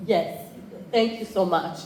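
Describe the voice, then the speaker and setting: A woman in her thirties with a Rwandan accent addresses a conference room in a relaxed, conversational way. She often pauses and restarts her sentences. The room is noticeably roomy, with faint ambience behind her. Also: medium pitch, a little hiss or hum. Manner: conversational, with frequent fillers and restarts. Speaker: entrepreneurship program chair. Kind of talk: conference presentation.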